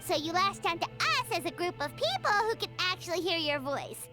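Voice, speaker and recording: A small, cheeky sidekick girl in a game, impatient with fools. Her voice is extremely high and bounces all over, with music playing clearly behind it. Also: very animated, brisk. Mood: explaining. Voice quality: sassy, impatient. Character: tiny sassy floating companion girl. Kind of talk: game voice acting.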